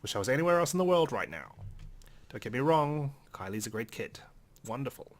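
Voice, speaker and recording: A man's voice: mid-range voice, lively intonation, brisk. A man hosting a game playthrough, reading a visual novel's first-person narration in a dry, theatrical way. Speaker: let's play host.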